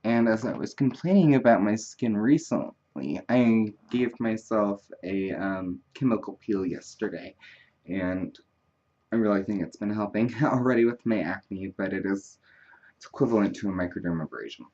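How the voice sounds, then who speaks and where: A man's voice: fairly low pitch, normal speed, mellow, highs cut off. A man hosting a makeup tutorial, chatting casually in a wandering, self-deprecating way. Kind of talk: casual rambling tutorial chat.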